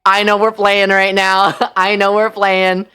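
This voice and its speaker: A woman livestream host telling a story casually, in an animated, incredulous, slangy way. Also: medium pitch, a little slow, smooth, soft background music.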